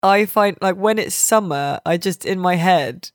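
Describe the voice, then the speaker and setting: A woman's voice: on the low side, measured pace, no echo, clean studio sound. A British woman hosting a podcast in a casual, chatty way, with a jokey, teasing manner. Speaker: podcast host and games journalist.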